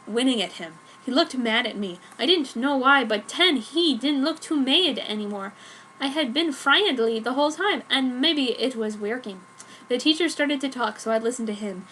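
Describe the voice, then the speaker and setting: High, bright woman reading a story. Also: low-quality recording. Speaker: narrator. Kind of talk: dramatic reading of amateur fan fiction. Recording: noticeable background noise.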